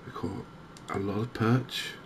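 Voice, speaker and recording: A man giving softly spoken ASMR commentary on a game, hushed, hesitant and unhurried, close to the microphone. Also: on the low side, fairly even intonation. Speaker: gaming video host. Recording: clear background noise.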